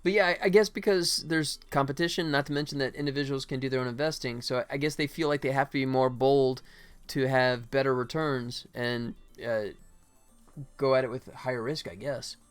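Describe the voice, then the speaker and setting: Male game-dev streamer, mid-pitched, off-the-cuff and self-muttering, music underneath. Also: steady tone, fairly quick, clear, resonant tone. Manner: muttering to himself, then chatting to viewers. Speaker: game developer live streamer. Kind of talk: casual live stream chat while working.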